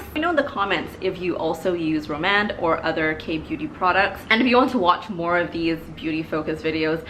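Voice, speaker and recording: A woman's voice: fairly low pitch, pushed and bright, slight background noise, poor audio. A young woman in her twenties, a little stuffy from allergies, chatting casually through a makeup review in her living room. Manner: chatty, many fillers and repeated words. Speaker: beauty vlogger. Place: living room, seated before a couch.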